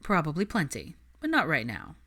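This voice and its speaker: Woman as a cool, dry young man, low-voiced. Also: huge pitch swings, moderate pace, a bit bright, full character voice. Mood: dry, affectionate. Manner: understated, dry, assured.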